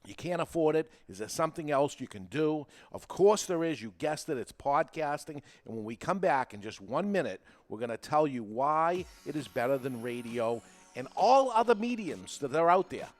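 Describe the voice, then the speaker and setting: A man's voice: fairly high pitch, big pitch swings, normal speed. A man hosting a talk podcast, explaining and persuading in an emphatic, repetitive way with rhetorical questions. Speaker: podcast host. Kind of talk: informal talk show monologue, explaining and persuading.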